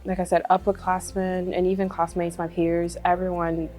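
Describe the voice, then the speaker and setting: A woman's voice: on the low side, monotone, moderate pace, a little mellow. A woman in her twenties from Congo, a medical student, talking casually with many fillers and frequent laughs. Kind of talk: testimonial interview. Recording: faint music behind.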